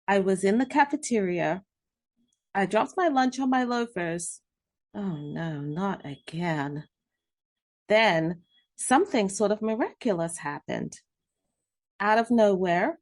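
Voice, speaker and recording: A woman narrating a children's storybook, reading expressively with drawn-out emphasis and dramatic pauses. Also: medium pitch, darkish tone. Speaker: read-aloud host narrating children's books. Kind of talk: scripted children's storybook narration in first person.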